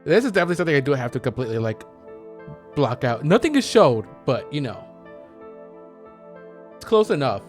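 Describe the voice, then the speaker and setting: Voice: medium pitch, sing-song, fairly quick, pauses often, soft background music. A video game streamer giving casual, rambling play-by-play commentary, muttering to themself and trailing off.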